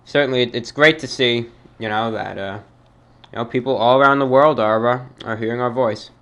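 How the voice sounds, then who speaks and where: A man reads listener mail aloud in a formal, measured voice of ordinary pitch. He stops and restarts now and then, choosing his words with care. It comes across as an old-fashioned radio-style monologue. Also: a bit bright, smooth, lo-fi sound. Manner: formal, measured, halting delivery. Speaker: host reading fan mail.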